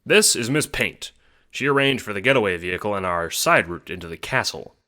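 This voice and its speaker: A man's voice: fairly low pitch, lively intonation, brisk, sharp tone. A man voicing a brash old male adventurer in an audio drama, grumbling and ordering people about in old-fashioned blustery talk. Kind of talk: audio drama character acting.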